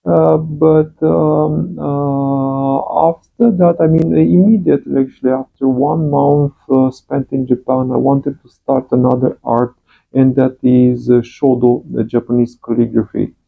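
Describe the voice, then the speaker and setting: A man with a Romanian accent introduces his channel, halting often and filling gaps with soft fillers. His voice has a gravelly fry, and he speaks slowly. The sound is thin and phone-like. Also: mid-range voice, level tone. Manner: slow and halting, many uh fillers. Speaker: channel host, calligraphy teacher. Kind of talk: hesitant personal introduction.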